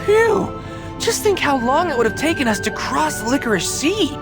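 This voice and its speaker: A woman's voice: high voice, very animated, normal speed, over music. A woman voicing a small, eager young gingerbread boy in a cartoon game, boyish and exclamatory. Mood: excited. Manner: exclamatory, wide-eyed, boyish.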